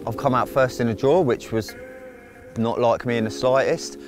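A man's voice: medium pitch, moderate pace. Man chatting by a lake over music. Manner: relaxed, chatty, plans out loud. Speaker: carp angler. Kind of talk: casual piece to camera. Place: outdoors by a lake. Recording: music playing underneath.

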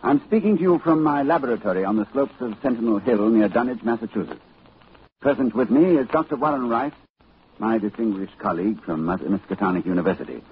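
An actor playing a grave, urgent professor narrating horror in a formal, portentous 1940s radio style. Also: male voice, lowish voice, brisk, slight background noise, natural voice. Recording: muffled 1940s radio recording.

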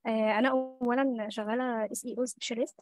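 A woman's voice: on the high side, fairly even intonation, fairly quick, a little mellow, dry recording, faint music behind. A young woman with an Egyptian Arabic accent, an SEO specialist asking for help, rambling with many fillers.

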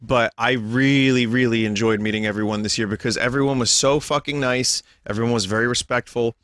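Slightly hoarse, tired man chatting. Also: medium pitch, fairly quick, dry recording. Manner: relaxed, rambling, tired. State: a little hoarse. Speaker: streamer. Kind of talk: casual chat to stream viewers. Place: home room.

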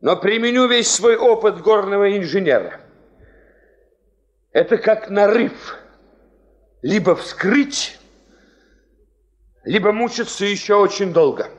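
An actor playing a principled, skeptical mining engineer in a 1970s Soviet radio play, speaking in a measured, formal, resolute way. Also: male voice, sits high, expressive. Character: principled, skeptical male veteran mining engineer and party leader. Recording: dull, band-limited 1970s radio recording.

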